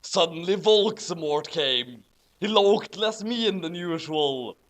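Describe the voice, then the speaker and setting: A man voicing the melodramatic teenage goth girl who narrates a fan fiction, in a theatrical, drawn-out reading. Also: on the high side, lively intonation, a bit bright, a little hiss or hum, voice pushed up.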